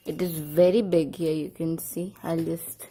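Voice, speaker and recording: A woman with a mellow, slightly low voice presents a casual product demonstration. She talks in brief, simple phrases and often repeats them as she points things out. The tone is relaxed and dark rather than sharp. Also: unhurried, a little hiss or hum. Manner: simple, repetitive short phrases while demonstrating. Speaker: product review vlogger.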